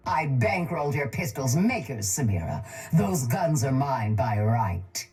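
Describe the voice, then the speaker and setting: Game villainess, older crime boss: very husky, low, cold, measured menace, music underneath. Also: female voice, sing-song, a little mellow, full character voice. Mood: menacing command. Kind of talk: video game character voice lines.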